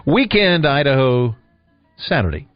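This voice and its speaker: A man's voice: medium pitch, sing-song, unhurried, no room sound, faint music behind. A man hosting a casual radio show with joking, teasing banter. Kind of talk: casual on-air chat. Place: radio studio. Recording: dull lo-fi radio air-check.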